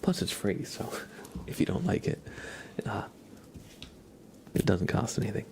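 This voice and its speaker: A man's voice: fairly low pitch, big pitch swings, unhurried, warm tone, slight background noise. A young man whispering casual, rambling chat as the host of an ASMR livestream, often hesitating.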